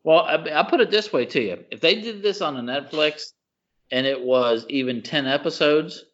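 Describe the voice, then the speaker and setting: A man's voice: a little high, lively intonation, normal speed, a bit bright, muffled recording. A man co-hosting a casual podcast, giving opinions emphatically, with slang, repetition and rhetorical questions. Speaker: podcast co-host.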